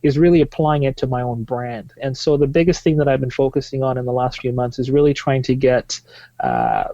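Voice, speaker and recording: A man's voice: mid-range voice, animated, brisk, mellow, no echo, highs cut off. A man in his thirties from Tanzania, answering podcast questions as a marketing guest, fluent but with many "uh" fillers and restarts.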